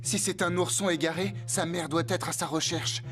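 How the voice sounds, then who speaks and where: A cautious young cartoon ranch hand voiced by a woman, serious and matter-of-fact. The voice sits low over faint music. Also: fairly even intonation, normal speed, natural voice. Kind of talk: cartoon dubbing.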